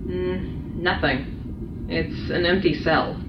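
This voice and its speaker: A woman's voice: on the low side, slow, over loud music. Echoey, uneasy female test subject acted. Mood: uneasy, hesitant. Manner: hesitant, blunt, expressive. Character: uneasy, foul-mouthed convict test subject, thirties. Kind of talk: audio drama acting. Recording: dull, echoey metal chamber with clear noise.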